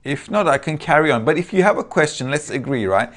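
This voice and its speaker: A man's voice: on the high side, lively intonation, fairly quick, a bit bright. A man with a Turkish accent giving a casual lecture over a video call, often checking in with his listeners. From Turkey.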